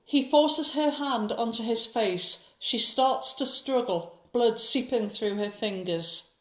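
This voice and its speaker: A woman's voice: on the high side, takes it slowly, fairly bright tone, reverberant. A woman narrating a horror audio drama from a script, describing each scene.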